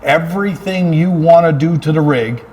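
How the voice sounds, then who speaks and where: A man's voice: fairly high pitch, moderate pace, darkish tone, a little hiss or hum. A man speaking as a fire chief being interviewed, talking about his work in an earnest, conversational way and repeating phrases for emphasis.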